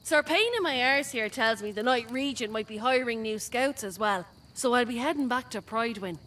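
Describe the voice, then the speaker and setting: Woman acting a cocky, high-voiced rogue. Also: moderate pace, fairly bright tone, lightly acted. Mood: sly, pleased. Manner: sly, breezy, slangy. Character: cocky, roguish female pirate-scout out for profit. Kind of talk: video game character dialogue.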